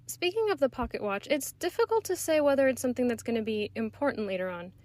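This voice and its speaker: Woman, high-ish voice, very quick pace, articulate prepared commentary, listener voice message. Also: lively intonation, a little mellow, no room sound. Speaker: listener sending a voice submission.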